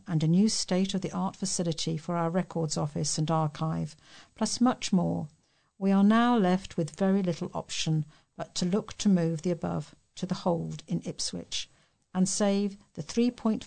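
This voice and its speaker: Casting: British woman, lowish pitch with noticeable vocal fry, volunteer reader of local news and letters. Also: warm tone. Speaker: volunteer newsreader for a talking newspaper. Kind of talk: reading local news articles and letters aloud.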